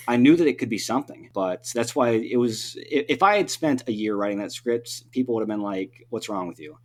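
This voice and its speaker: A man's voice: medium pitch, a little fast. A man speaking as a podcast guest and writer, explaining things in a talkative, casual conversational way.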